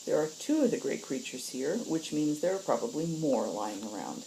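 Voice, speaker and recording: A woman's voice: very deep voice, sing-song, normal speed, creaky, dark tone, slight background noise, rough recording. A woman reading and hosting a story in the style of audiobook narration. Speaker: story reader and host.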